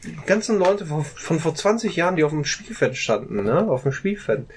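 A man's voice: fairly high pitch, sing-song, normal speed. A man in a casual group discussion, talking at length and often ending phrases with a questioning "ne?". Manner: talkative, often adds a questioning "ne?".

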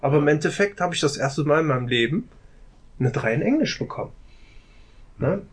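A man's voice: fairly high pitch, animated, a little fast, breathy edge. A man in a casual group discussion, talking at length and often ending phrases with a questioning "ne?". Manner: talkative, often adds a questioning "ne?".

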